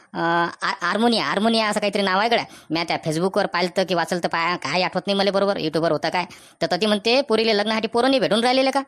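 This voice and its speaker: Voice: mid-range voice, fairly bright tone, natural voice. Voice actor as chatty Varhadi village bachelor. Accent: Varhadi Marathi from Vidarbha. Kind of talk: comic cartoon dialogue in village dialect.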